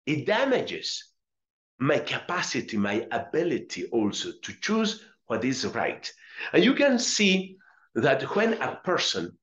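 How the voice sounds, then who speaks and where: A middle-aged man from Colombia with a Spanish accent, a friar teaching a religious lesson, reading aloud and explaining deliberately, repeating phrases for emphasis. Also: mid-range voice, wildly swooping pitch, a bit bright, muffled recording. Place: small indoor room with curtains.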